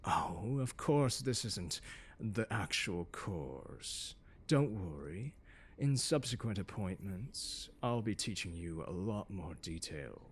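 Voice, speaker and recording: A man playing a haughty, condescending, seductive scholar in an ASMR audio roleplay, speaking in a pompous, teasing drawl with deliberate emphasis. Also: sits low, animated, breathy edge, heavily acted. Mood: smug, teasing. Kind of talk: ASMR roleplay, scripted lecture to the listener. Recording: long hall-like reverb.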